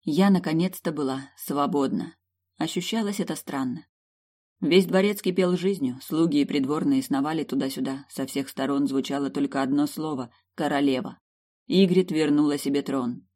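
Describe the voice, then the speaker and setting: Expressive fantasy audiobook narrator. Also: medium pitch, moderate pace. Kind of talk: expressive first-person fantasy fiction narration.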